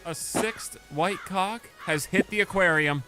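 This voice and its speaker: A male streamer chatting casually with his live chat while playing a game, swinging from mumbled asides to loud outbursts. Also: high-pitched, unhurried, over music. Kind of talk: casual gaming livestream chat.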